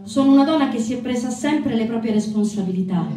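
An actress as a desperate young mother in a stage monologue. Her high voice declaims each phrase deliberately and defiantly, pleading for justice. It sounds distant in a roomy space. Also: close to an everyday voice. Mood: desperate, resolute. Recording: roomy echo, distant mic, music.

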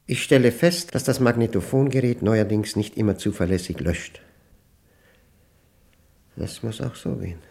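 Lonely, orderly male professor, standard German, low mellow voice, pedantic and reflective radio monologue. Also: vocal fry. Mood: dry, deliberate. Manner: pedantic, reflective, halting, self-questioning.

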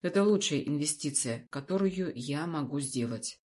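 A woman voicing an imperturbable, commanding man in an audiobook story, speaking in a calm, measured, authoritative way. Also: sits low, voice pushed down. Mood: earnest. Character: imperturbable, commanding wealthy man in a formal suit.

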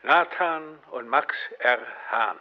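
An actor playing a solemn 1930s businessman who reads a formal, measured address in a radio play. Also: medium pitch, lightly acted. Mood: formal, hopeful. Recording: dull lo-fi radio-like sound.

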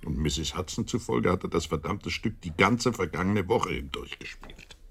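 Tiefer, rauer viktorianischer Doktor. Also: male voice, low-pitched, expressive, normal speed, creaky, slightly rough, heavily acted. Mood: exasperated. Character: Holmes's loyal doctor friend, Victorian, sceptical, a little exasperated. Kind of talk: German radio play dialogue.

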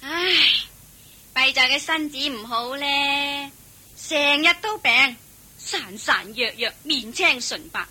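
Grumbly young hong kong cantonese wife in a radio play, high sharp voice, curt and complaining. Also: female voice, lively intonation, takes it slowly, exaggerated voice. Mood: irritated, complaining. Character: grudging, complaining, hard-up young wife and daughter. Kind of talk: Chinese radio drama acting.

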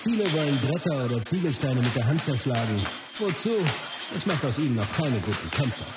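A man's voice: mid-range voice, lively intonation, a little fast, lightly acted. An actor playing a confident, blunt, philosophical martial arts master telling his story in a radio play. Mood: dismissive, instructive. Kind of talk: scripted first-person narration in a radio play. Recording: loud music under the voice. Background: music.

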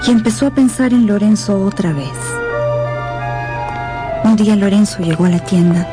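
A woman's voice: mid-range voice, normal speed, over music, natural voice. A woman narrating a tragic radio drama as a solemn storyteller, in scripted dramatic style.

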